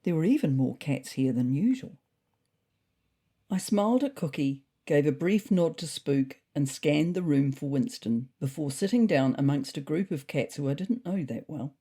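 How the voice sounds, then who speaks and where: A woman plays a fretful, thoughtful ginger cat reading a comedy diary. The voice is low and husky, with very mobile intonation and a dry, exasperated wit. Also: animated, moderate pace, dark tone, full character voice. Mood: dry, wry. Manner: dry, wry, mildly exasperated. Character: thoughtful, slightly neurotic, wry ginger cat diarist. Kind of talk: scripted comic diary narration.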